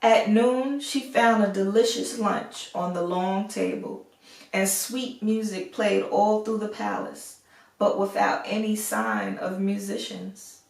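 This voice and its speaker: A woman reading a fairy tale aloud as an expressive storyteller. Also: mid-range voice, takes it slowly, vocal fry. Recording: roomy echo, distant microphone.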